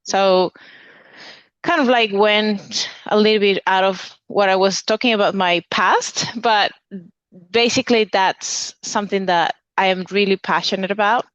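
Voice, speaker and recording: A woman's voice: mid-range voice, fairly even intonation, a little slow, fairly bright tone, no echo, muffled recording. A woman in her thirties, originally from Venezuela, explaining her views earnestly in an interview and listing points with frequent restarts. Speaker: dietitian guest. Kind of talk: conversational interview answer.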